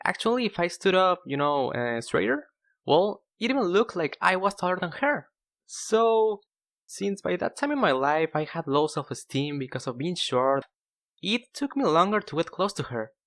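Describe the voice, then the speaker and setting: A man's voice: high-pitched, very animated, moderate pace, fairly bright tone. A young man narrating in a casual, self-deprecating, conversational way, like a scripted storytime video.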